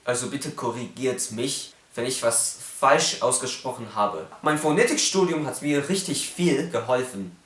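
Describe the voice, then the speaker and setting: A man's voice: medium pitch, expressive, unhurried, hardly any pauses, a bit bright, echoey room. A young man whose first language is Cantonese, speaking with a Cantonese accent as he explains phonetics in a casual vlog and carefully demonstrates sounds.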